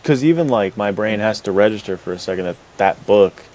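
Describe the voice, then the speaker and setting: A man's voice: lowish voice, animated, brisk, darkish tone, low-quality recording. A man co-hosting a podcast in a casual, conversational way. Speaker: podcast co-host. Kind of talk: casual chat.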